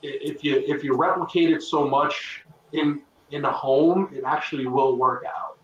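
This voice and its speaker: A man from Texas, answering as a guest over a video call in a rambling, halting way with many restarts. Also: fairly high pitch, steady tone, a little hiss or hum. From Texas, north of Dallas. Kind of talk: casual interview talk. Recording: roomy, distant, muffled call audio.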